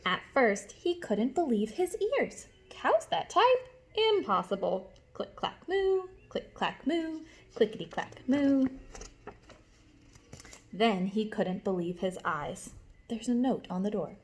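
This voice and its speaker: A woman teacher reading a storybook aloud to young children, expressively, pausing to explain and ask questions. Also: mid-range voice, expressive, darkish tone.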